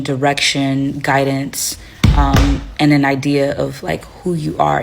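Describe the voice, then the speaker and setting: A woman's voice: low voice, creaky. A young woman from Haiti, a life coach, giving casual reflective advice while unwell with a headache and tired, with many fillers and restarts. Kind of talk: casual talking-to-camera advice.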